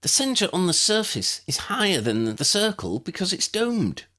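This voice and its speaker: A man voicing an earnest, quick-thinking young woman in an audiobook. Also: high voice, very animated, sharp tone, voice pushed up, heavily acted. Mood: keen, explaining. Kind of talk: audiobook character voice.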